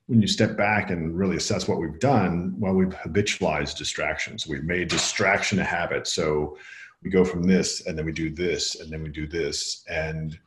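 Deep-voiced man in an echoey room. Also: steady tone, normal speed, a bit bright. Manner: reflective, earnest explaining. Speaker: guest, author and coach. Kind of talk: podcast conversation.

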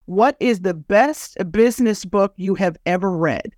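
Woman podcast host running a panel, chatty, normal pitch, really dry audio. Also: a little slow, darkish tone, dry recording. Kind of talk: panel moderation, conversational.

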